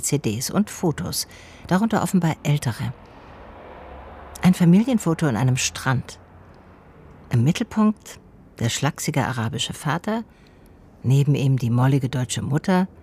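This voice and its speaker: A woman's voice: rumbling low, darkish tone, slight background noise. An actress playing a female private detective in a radio play, narrating and talking in a dry, slightly weary, curious way. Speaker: narrator and lead character.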